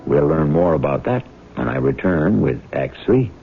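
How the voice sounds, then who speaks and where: A very low, gravelly man hosts a 1970s radio drama with dramatic scripted narration. The sound is a tinny, narrow broadcast with music behind him. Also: very animated, moderate pace.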